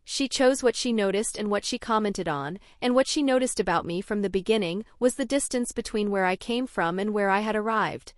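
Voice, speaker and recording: A young adult woman from Norway, narrating her own story in a measured, even scripted way. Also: mid-range voice, no room sound, studio quality.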